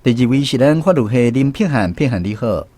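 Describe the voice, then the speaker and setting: A man's voice: mid-range voice, expressive, a little fast, warm tone, no room sound. A man with a Taiwanese accent hosting a language lesson segment on the radio. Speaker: radio show host.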